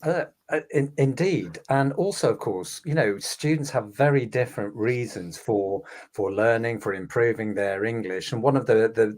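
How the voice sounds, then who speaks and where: A man hosts a relaxed discussion in a mid-pitched voice. He is polite and measured, and he eases into his questions with small hesitant fillers. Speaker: host, company head. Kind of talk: casual discussion.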